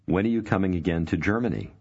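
A man narrating a language course, giving clear, measured cues to repeat. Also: deep voice, a little mellow. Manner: slow, clear prompting cues. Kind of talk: scripted instruction. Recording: dull lo-fi studio recording.

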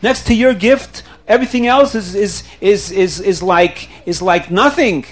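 Man playing an excitable high-voiced storybook count. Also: a little slow. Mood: grateful, generous. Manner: emphatic, exclaiming. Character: excitable nobleman count, delighted, grateful, generous man. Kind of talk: children's storytelling.

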